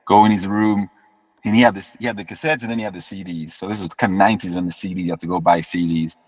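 A man, telling his story as an interview guest in a relaxed way and often repeating words as he restarts. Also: deep voice, quick pace, slightly rough. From United Kingdom. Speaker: guest musician. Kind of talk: casual interview chat. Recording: dull lo-fi sound with long reverb.

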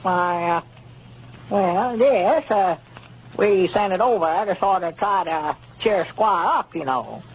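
An actor playing a folksy, slow-witted small-town man with a rural Southern accent in a 1930s radio comedy. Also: sits high, slightly rough, full character voice. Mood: flustered, rambling. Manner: hesitant, rambling country talk. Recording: muffled, noisy 1930s radio recording.

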